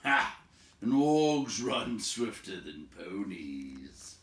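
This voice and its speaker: A gruff bear-man out of a fantasy storybook, acted out by a male reader, handing down stern warnings. He draws out his words and commands with heavy emphasis. The voice is fairly low and rings in a roomy space. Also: sing-song, fairly bright tone, heavily acted. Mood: gruff, commanding. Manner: slow, emphatic, commanding delivery with drawn-out words. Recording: roomy, echoing home recording.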